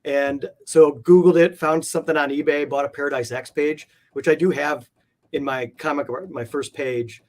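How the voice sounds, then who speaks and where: A man's voice: fairly high pitch, steady tone, moderate pace, a little mellow. A man being interviewed, telling stories in a casual, meandering way with plenty of informal filler words. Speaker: interview guest, comic art collector. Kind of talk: casual conversational storytelling.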